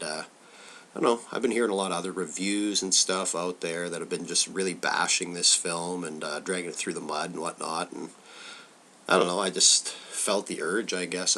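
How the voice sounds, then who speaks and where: A low-voiced man talks through a cold, his nose plugged up, in a loose, rambling way with plenty of filler words and casual swearing. His tone carries a forceful, bright edge, and a faint hiss sits behind him. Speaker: horror film reviewer. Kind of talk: casual rambling film review.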